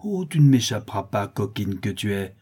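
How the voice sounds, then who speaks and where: A man voicing a rough, bitter peasant milkmaid of forty in a storybook reading, coarse and accusing. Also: on the low side, normal speed, dark tone, lightly acted. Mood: furious, accusing.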